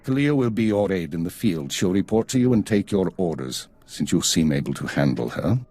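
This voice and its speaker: An actor playing a stern, weary intelligence chief in a video game, formal, clipped and measured. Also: male voice, low-pitched, expressive, mellow. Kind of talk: video game cutscene acting.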